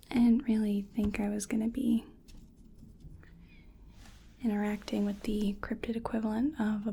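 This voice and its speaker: A woman playing a hesitant, curious creature researcher in a soft-spoken, whispered ASMR exam roleplay, musing gently and apologetically. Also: a little high, husky. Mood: hesitant, apologetic.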